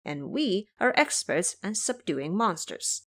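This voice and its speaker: A woman's voice: medium pitch, sing-song, slow pace, fairly bright tone, full character voice. A woman voicing a brash, boastful, hideous monkey monk who catches monsters, in an audio drama. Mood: confident pitching. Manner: boastful, cheeky.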